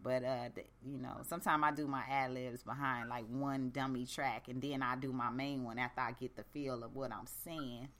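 Deep low-voiced woman host, blunt and profane, laid-back slangy radio talk. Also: flat delivery, normal speed, few pauses, a bit bright. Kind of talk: casual radio chat.

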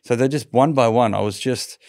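A man's voice: mid-range voice, fairly quick, a little mellow, no room sound, clean studio sound. A middle-aged man from Sydney with an Australian accent, telling his own story conversationally as an interview guest.

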